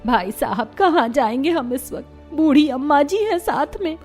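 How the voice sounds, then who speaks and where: A woman's voice: sits high, expressive, normal speed, a little mellow, faint music behind. A woman voicing a grumbling older mother-in-law in a cartoon story, plaintive, exclaiming and melodramatic. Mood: pleading. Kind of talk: Hindi cartoon story voice acting.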